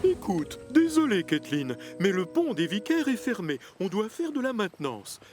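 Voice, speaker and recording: A man's voice: sits high, big pitch swings, moderate pace, a little mellow, soft background music. A man voicing a pompous, fatherly railway controller who talks with emphatic authority in a children's cartoon dub. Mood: apologetic, firm.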